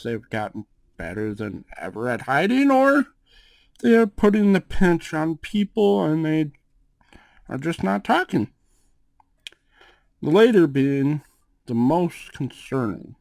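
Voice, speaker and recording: A man playing a suave, calm and protective cat-folk gang boss in an ASMR roleplay, speaking in a measured, drawn-out way with questioning tags. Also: fairly high pitch, gravelly, no echo, exaggerated voice. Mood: pondering, concerned. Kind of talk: ASMR roleplay, acted monologue to one listener.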